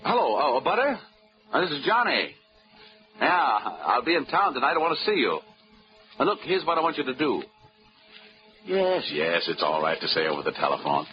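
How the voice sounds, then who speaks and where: A breezy, wisecracking investigator, played by a man in a 1940s radio drama. His voice rises and falls with lively swoops. It sounds muffled and dull, like an old broadcast, with music underneath. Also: mid-range voice, normal speed, pauses often, close to an everyday voice. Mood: smooth. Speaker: insurance investigator.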